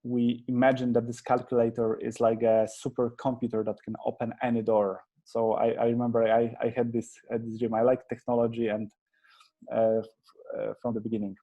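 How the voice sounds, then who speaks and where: A Polish-accented man in his thirties answers interview questions with a mellow, dark tone. His delivery stays flat and even, and he keeps restarting his sentences in an earnest, halting way. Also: medium pitch, lo-fi sound. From Poland. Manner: hesitant, many restarts and fillers, earnest. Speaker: interview guest, agency founder. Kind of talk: conversational interview.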